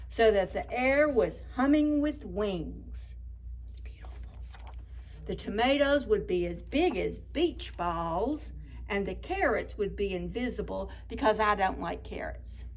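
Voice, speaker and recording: A woman hosting children's story time, reading picture books expressively with drawn-out words and chatty asides. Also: mid-range voice. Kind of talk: animated picture book read-aloud for preschoolers.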